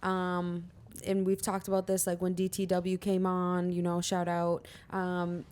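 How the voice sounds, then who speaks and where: A woman's voice: on the low side, completely flat delivery, unhurried, darkish tone, clear, resonant tone. A young woman hosting a podcast, asking casual questions in a chatty, upbeat way. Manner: chatty, upbeat, laughs often. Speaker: podcast host.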